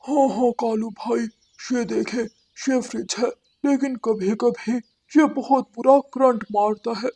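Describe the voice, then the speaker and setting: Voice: mid-range voice, fairly even intonation, measured pace, few pauses, warm tone, husky. A performer voicing a wry male owl who deals in junk, grumbling and selling in a moral-story cartoon. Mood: obliging.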